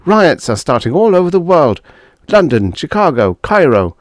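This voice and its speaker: Man as young officer, urgent, pleading, raised pitch, swooping, audiobook character. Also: big pitch swings, moderate pace, dry recording, studio quality.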